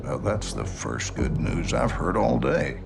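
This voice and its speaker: An actor playing a gruff middle-aged army general who gives blunt, profane, clipped orders. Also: male voice, fairly low pitch, big pitch swings, unhurried, music in the background, full character voice. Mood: stern.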